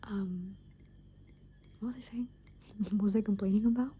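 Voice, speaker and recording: Whispering young woman, very mellow. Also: medium pitch, moderate pace, slightly rough. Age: young adult. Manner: soft unvoiced whisper, rambling with many fillers. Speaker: ASMR creator. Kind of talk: whispered ASMR soft rambling.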